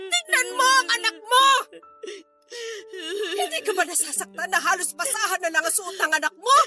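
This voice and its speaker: An actress playing a stern, desperate older mother-in-law in a radio drama, scolding and pleading tearfully. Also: extremely high pitch. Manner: scolding, imploring, tearful emphatic delivery. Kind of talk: Tagalog radio drama acting.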